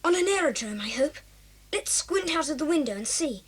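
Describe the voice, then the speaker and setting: A woman voicing a sensible, bossy young boy, brisk and authoritative, in a children's audio drama. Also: lively intonation. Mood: sensible, decided. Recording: noisy cassette, vehicle rumble.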